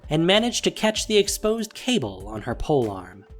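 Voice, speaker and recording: Animated male story narrator. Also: fairly high pitch, moderate pace. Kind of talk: dramatic scripted audio-drama narration. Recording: faint music behind voice. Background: faint music.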